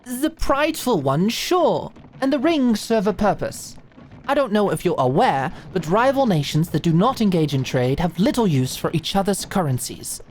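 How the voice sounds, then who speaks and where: A woman's voice: mid-range voice, very animated, normal speed, a little mellow, slight background noise, close to an everyday voice. A woman voicing a pompous, prickly aristocratic doctor in a medieval audio drama, with formal, precise speech. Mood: haughty, defensive. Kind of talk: medieval fantasy audio roleplay acting.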